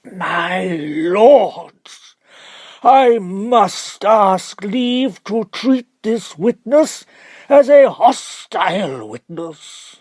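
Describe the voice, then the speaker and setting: Pompous male prosecutor for an audiobook, acted by a man: raised pitch, laboriously drawn-out words, incredulous, theatrical. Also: expressive, darkish tone, dry recording, studio quality, exaggerated voice. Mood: fierce, incredulous. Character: pompous, theatrical male Attorney General cross-examining a witness. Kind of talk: audiobook character voice.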